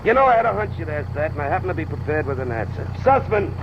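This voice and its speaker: Wisecracking old radio cop, sardonic as hell, voice jumps up and down, dull sound w truck rumble. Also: male voice, sing-song, fast. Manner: sardonic, mocking. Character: sarcastic, exasperated police lieutenant, wisecracking tough cop. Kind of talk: old-time radio detective drama acting.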